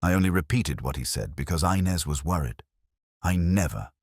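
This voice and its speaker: A man's voice: low-pitched, animated, moderate pace, creaky, slightly rough, dry recording, clean studio sound, heavily acted. A man voicing an anxious woman suspect in an audiobook, pleading and flustered.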